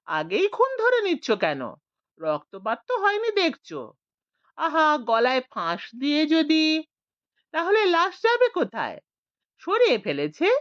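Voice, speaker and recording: A woman voicing a sly older man who teases and mocks in a low voice, in a storybook reading. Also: wildly swooping pitch, a little slow, long pauses, a bit bright, dry recording, full character voice. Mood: reasoning. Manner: low, teasing, sarcastic talk. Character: sly mocking older male ex-thief. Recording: dull lo-fi dry recording.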